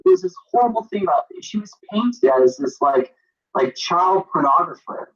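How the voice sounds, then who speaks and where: A young man from Maine, a photographer and author, rambling in an animated, self-deprecating way as an interview guest on a video call. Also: sits high, normal speed. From Maine, USA. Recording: muffled lo-fi video call audio.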